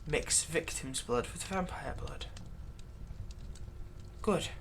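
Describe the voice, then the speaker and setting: A man playing a vengeful slave who is turning himself into a vampire, in a close roleplay monologue, taunting and theatrical, dropping into breathy whispers. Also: on the high side, expressive, slow pace, slight background noise, heavily acted. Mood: bossy, threatening. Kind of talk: roleplay audio acting, close ASMR monologue.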